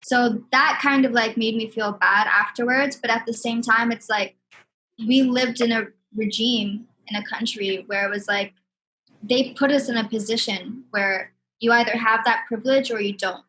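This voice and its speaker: Guest, woman from Sudan, heartfelt casual talk, higher-pitched. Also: steady tone, moderate pace, pushed and bright, rough recording. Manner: candid, heartfelt, many "you know what I mean". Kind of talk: casual conversation.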